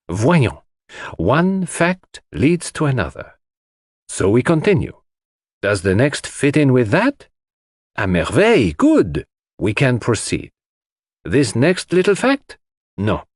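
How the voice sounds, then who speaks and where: A man voicing a fussy, theatrical middle-aged detective in an audiobook, emphatic and precise with dramatic pauses. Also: medium pitch, dry recording, studio quality, voice pushed up, full character voice. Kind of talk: audiobook character acting.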